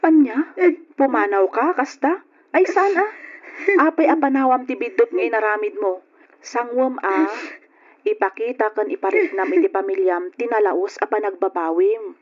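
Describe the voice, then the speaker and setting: A concerned, outspoken woman friend in an Ilocano-accented radio drama, as an actress plays her. She scolds and advises in a somewhat high voice. The sound is dull, like an old broadcast. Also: moderate pace, lightly acted. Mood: firm urging. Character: scolding, concerned female friend giving frank advice.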